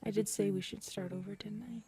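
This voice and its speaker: A woman playing a big, earnest predatory alien woman in an intimate audio roleplay, soft and hesitant, often murmuring. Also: mid-range voice, steady tone, a little fast, natural voice. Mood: bashful, hopeful. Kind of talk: audio roleplay acting, addressed to listener.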